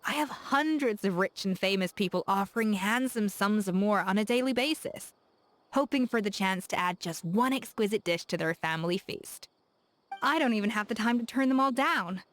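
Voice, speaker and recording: A woman's voice: mid-range voice, lightly acted. Woman playing a self-assured, teasing chef. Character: confident, playful young woman chef, proud and curious. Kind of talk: game character voice lines.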